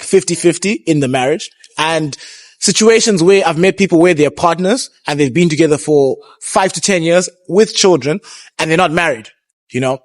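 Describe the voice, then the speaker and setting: A man's voice: on the high side, no room sound. A young man hosting a casual street interview outdoors on a city street, laid-back and calling people "bro". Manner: laid-back, says "bro" often, repeats "I get you". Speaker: street interviewer and vlog host.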